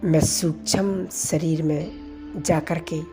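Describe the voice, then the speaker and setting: A woman leading a guided meditation commentary in a calm, soft manner with long pauses. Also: low-pitched. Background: background music.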